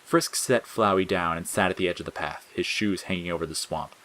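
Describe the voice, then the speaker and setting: Male narrator for audio fiction, low register, scripted storytelling. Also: fairly quick, a bit bright.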